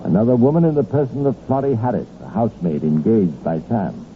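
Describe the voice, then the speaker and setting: Male narrator for vintage crime radio drama, formal and measured, lowish voice, thin telephone-like sound. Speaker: narrator and host. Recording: tinny, noisy 1950s radio recording.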